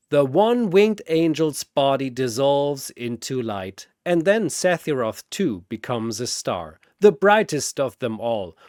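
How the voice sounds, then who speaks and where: A man's voice: on the high side, a little mellow, dry recording, clean studio sound. A man giving dramatic scripted narration of a fantasy battle, theatrical and emphatic, as narrator and announcer.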